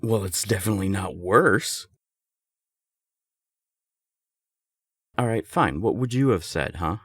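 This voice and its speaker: A man's voice: on the low side, lively intonation, moderate pace, husky, dry recording, clean studio sound. A young man acting a candid serial-dater friend who is secretly smitten, conversational and emphatic, in an intimate audio roleplay. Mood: playful arguing. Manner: conversational, hesitant, emphatic swearing.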